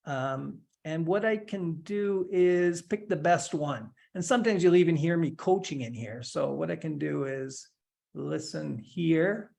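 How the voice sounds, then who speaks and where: Male instructor in his forties, casual tutorial delivery, step-by-step with light humor, slightly high voice. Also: unhurried, vocal fry, a little mellow. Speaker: instructor giving a software demo. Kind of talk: screen-share tutorial, casual explanation.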